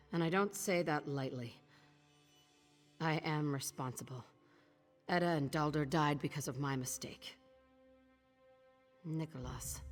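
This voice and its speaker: A grave, bitter woman thief from a fantasy game, with a very low voice. She speaks tersely and resolutely, as one betrayed by her mentor, and long hall reverb surrounds her over music. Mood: bitter regret. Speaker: game character. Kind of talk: dramatic game dialogue.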